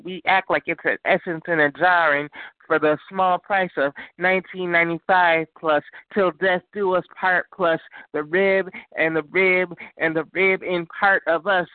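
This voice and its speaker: A man's voice: high voice, no room sound. A man calling in to recite a spoken word poem with rhythmic wordplay, heard over a phone line. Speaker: call-in poet. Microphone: phone call.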